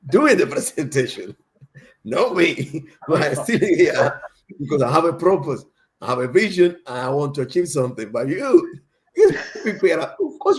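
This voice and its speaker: A man from Cuba, a native Spanish speaker with a strong Cuban accent, chatting casually as a panel speaker. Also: on the high side.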